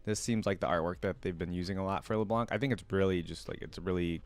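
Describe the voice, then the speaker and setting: A man's voice: low voice, fairly even intonation, fast talker. Enthusiastic man, rambling reaction host. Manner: enthusiastic, rambling, many fillers. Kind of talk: casual reaction commentary.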